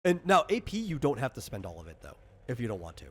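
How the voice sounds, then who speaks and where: A man casually explaining tabletop game rules as a game master, in a rambling, halting way that circles back and rephrases. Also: medium pitch, wildly swooping pitch, a bit bright, slightly rough.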